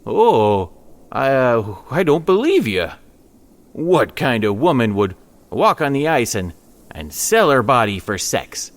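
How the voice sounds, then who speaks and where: A man's voice: medium pitch, big pitch swings, slow pace. A man acting as a nervous, evasive ice fisherman in a comic audio drama, stammering and hesitating. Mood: nervously evasive. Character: flustered, evasive male ice fisherman hiding his companion.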